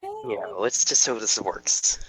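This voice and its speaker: A bold young student leader, acted out by a man in an amateur audio table read. The voice is forceful and bright, giving orders like a fighter who takes charge. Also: lowish voice, huge pitch swings, slow pace, husky, no room sound, exaggerated voice, rough recording. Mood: determined.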